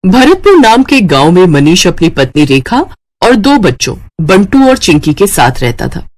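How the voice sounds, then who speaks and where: A woman narrating a scripted story in an expressive storytelling manner. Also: on the low side, moderate pace.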